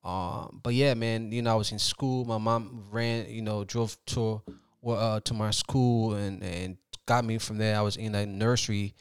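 A man in his thirties from Liberia, telling his story as a podcast guest in a reflective, unhurried way. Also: lowish voice, steady tone, clear, resonant tone, no room sound, studio quality. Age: 30s. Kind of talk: casual interview.

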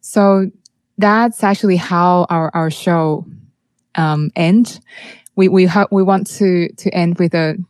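A woman from Taiwan with a Taiwanese accent, speaking as an interview guest in a halting way, often repeating words as she searches for phrasing. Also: fairly low pitch, fairly even intonation, darkish tone, no room sound. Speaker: music producer, interview guest. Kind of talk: conversational interview, telling personal experience.

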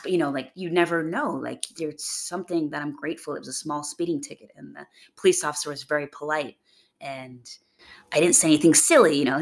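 A young adult woman hosting a podcast, raspy from a chest cold, talking in a chatty, upbeat, rambling way. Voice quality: gravelly, low voice. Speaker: podcast host. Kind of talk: casual motivational monologue.

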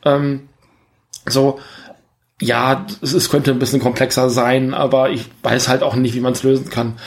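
A man's voice: medium pitch, little pitch movement, unhurried. A man co-hosting a film podcast, talking casually and searching for words as he reasons aloud.

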